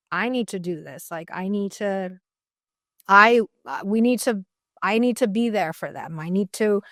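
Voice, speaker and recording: A woman's voice: medium pitch, no room sound, clean studio sound. Earnest young woman from Mexico. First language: Spanish. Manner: earnest, many "like" fillers and restarts. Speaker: guest dietitian. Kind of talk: casual interview talk.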